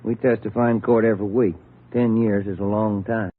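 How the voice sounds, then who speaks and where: Male actor as plain-spoken police detective, American, slightly low voice, folksy, vintage radio drama, dull noisy sound. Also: flat delivery, brisk, natural voice. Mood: businesslike. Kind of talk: 1940s-50s radio drama acting. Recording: muffled, noisy old radio recording.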